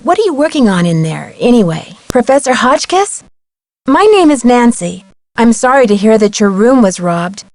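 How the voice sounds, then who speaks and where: A woman's voice: fairly high pitch, sing-song, moderate pace, a little mellow, dry recording. A woman playing a polite, inquisitive young female amateur detective in scripted video game dialogue. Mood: polite, friendly. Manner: courteous, inquisitive, clearly enunciated.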